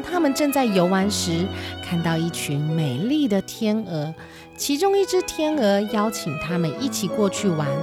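Woman narrating a children's story over music. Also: medium pitch. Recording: music behind the voice.

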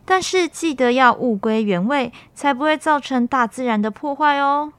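A woman's voice: high-pitched, animated, moderate pace, clear, resonant tone, dry recording. A young woman with a Taiwanese Mandarin accent, co-hosting a scripted student radio programme. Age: young adult.

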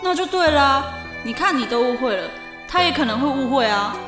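A woman's voice: sits high, animated, brisk. A young woman playing a teasing, caring teenage schoolgirl in an audio drama, speaking Taiwanese-accented Mandarin. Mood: concerned, soothing. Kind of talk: amateur audio drama acting. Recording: roomy echo under music. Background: music.

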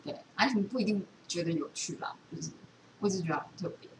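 Taiwanese lady rambling through stories real fast, bit far from the mic, noisy roomy recording. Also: mid-range voice, lively intonation. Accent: Taiwanese Mandarin. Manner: rambling, loosely strung storytelling. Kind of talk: casual chat, retelling a book. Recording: echoey room, distant mic, background noise.

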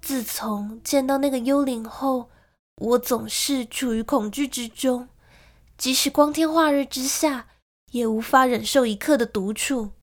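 High-voiced lonely young gentlewoman. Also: a little slow. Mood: melancholy. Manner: storytelling, emotive. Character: lonely young gentlewoman recalling a frightening childhood. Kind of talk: dramatic radio drama narration.

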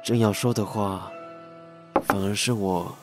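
A man's voice: lowish voice, steady tone, unhurried, music in the background, lightly acted. An actor playing an easygoing, affectionate young male pastry assistant in an audio drama, speaking with a Taiwanese accent. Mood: sincere, fond. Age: young adult. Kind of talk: audio drama acting with narration.

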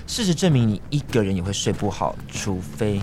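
A man's voice: fairly low pitch, very animated, brisk, noisy background. A man with a Taiwanese Mandarin accent playing a bitter, jealous, accusing young ex-boyfriend in a radio drama. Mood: sulky, suspicious.

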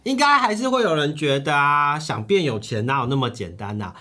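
A man's voice: fairly high pitch, expressive, brisk, bright, forceful tone. A man with a Taiwanese Mandarin accent talking through a book as a podcast co-host in a conversational style. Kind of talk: conversational book discussion about personal finance.

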